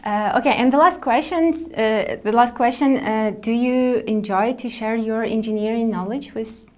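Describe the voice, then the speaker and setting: A woman's voice: a little high, moderate pace, a little mellow. A woman co-hosting a webinar over a video call, asking short friendly questions with fillers. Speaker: webinar co-host.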